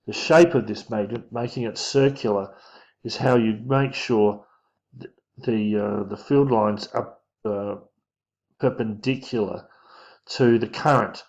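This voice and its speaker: A man giving an informal physics lesson, talking through diagrams in a casual, halting way as he thinks aloud. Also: medium pitch, darkish tone. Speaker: physics teacher. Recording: dull lo-fi microphone sound.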